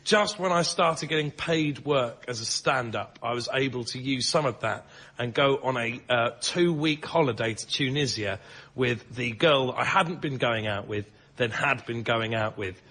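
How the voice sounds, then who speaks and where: A man's voice: mid-range voice, pushed and bright. A man, a stand-up comedian on a panel show, talking in an emphatic, laboured comic way. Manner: emphatic, deliberately laboured phrasing. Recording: live show with faint background noise.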